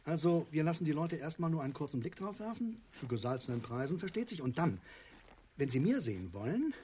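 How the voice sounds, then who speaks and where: A man's voice: on the high side, very animated, a little fast, warm tone, slight background noise. An actor playing a pompous, money-hungry middle-aged father in a satirical radio play, blustering and argumentative. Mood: grandiose scheming. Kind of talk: German radio play acting, satirical comedy.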